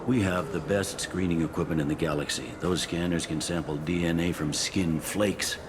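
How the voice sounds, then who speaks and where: A man's voice: low voice, fairly even intonation, moderate pace, lightly acted. A man playing a wry, pragmatic police captain on a space station in a video game. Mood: wry, helpful. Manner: wry, blunt, matter-of-fact. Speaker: security captain character. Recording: clearly audible ambient noise.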